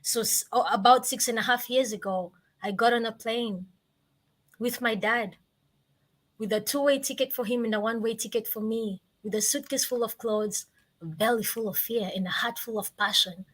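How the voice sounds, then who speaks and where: A woman in her twenties with a Kenyan accent, telling her story like an inspirational speaker, with emphatic repetition and deliberate pauses. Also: on the high side, fairly even intonation, fairly bright tone, rough recording. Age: 20s. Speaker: guest, motivational speaker.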